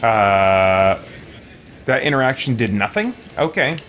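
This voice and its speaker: A man's voice: fairly low pitch, expressive, slow pace, a bit bright. A man giving casual gameplay commentary as a streamer. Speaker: gaming streamer. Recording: game music behind. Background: video game audio.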